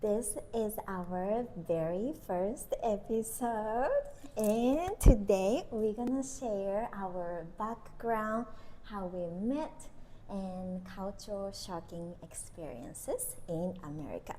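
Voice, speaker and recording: Japanese-accented woman, podcast co-host, measured and easygoing, light hiss on the track. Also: mid-range voice, warm tone. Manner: slow, giggly, with many pauses and fillers. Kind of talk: casual chat.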